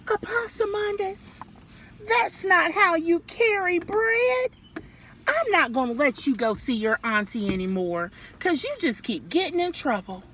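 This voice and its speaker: Lady doing a high loud scolding mama possum from a storybook, swoopy, noisy outdoor recording. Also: big pitch swings, full character voice. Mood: exasperated. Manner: emphatic, drawn-out scolding. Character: scolding, exasperated mother possum, loud and dramatic. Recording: clear background noise, outdoors.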